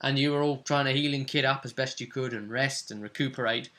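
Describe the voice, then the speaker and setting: A man with a bright, forceful voice hosts as game master. He recaps a tabletop session in a casual way. Key phrases get repeated for dramatic weight. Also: medium pitch, fairly even intonation, quick pace. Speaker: game master and vidcast host.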